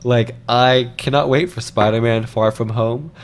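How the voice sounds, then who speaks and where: A young man, co-hosting a casual movie review in a jokey, excitable way with plenty of rhetorical questions. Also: mid-range voice, unhurried. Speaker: film review co-host. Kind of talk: casual unscripted movie chat.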